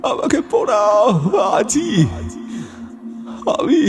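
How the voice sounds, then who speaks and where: Male actor as anguished soul of the dead for horror audio story: very high, drawn-out, pleading, music underneath. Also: lively intonation, heavily acted. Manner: slow, pleading. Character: suffering dead man's soul begging for release. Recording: music behind the voice.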